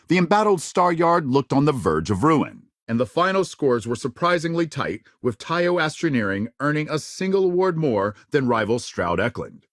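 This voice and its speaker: A man playing a formal, authoritative news anchor in a science fiction game, delivering scripted reports with measured, dramatic emphasis. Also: mid-range voice, dry recording, studio quality, natural voice. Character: polished, authoritative male news anchor of a spacefaring future. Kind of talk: scripted news broadcast narration.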